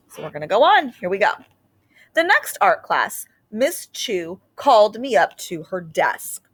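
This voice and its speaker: A woman teacher reading a storybook aloud and explaining it to students in a slow, deliberate way. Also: on the low side, a bit bright. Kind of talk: read-aloud lesson with informal recap.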